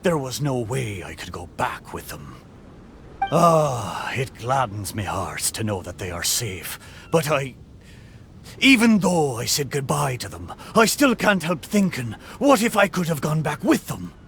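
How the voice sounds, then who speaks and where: A man's voice: medium pitch, wildly swooping pitch, a little slow, vocal fry, fairly bright tone, slight background noise, exaggerated voice. A man playing a sentimental talking shipwreck in a video game, speaking like a theatrical old pirate sailor with a stage pirate brogue. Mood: sorrowful, regretful. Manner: theatrical, hearty, archaic sailor phrasing. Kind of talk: video game character acting. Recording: game music behind the voice.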